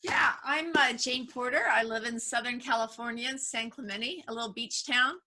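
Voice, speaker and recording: A woman's voice: a little high, moderate pace, bright, forceful tone. A woman, an author introducing herself casually as a panel guest. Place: at home on a video call.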